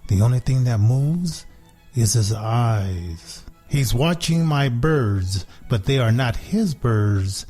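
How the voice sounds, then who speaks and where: A man plays a self-satisfied house cat narrating a children's story. The delivery is very unhurried and deliberate, with pauses between phrases, at a medium pitch over music. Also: expressive, dark tone. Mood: smug, unhurried. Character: smart, smug, possessive pet cat telling its life.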